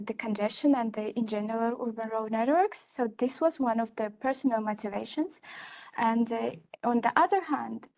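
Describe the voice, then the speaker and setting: A woman's voice: on the high side, a little mellow, lo-fi sound. A young woman gives an academic conference talk on her research, speaking hesitantly with many fillers and restarts. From Kazakhstan. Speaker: research assistant presenting her research.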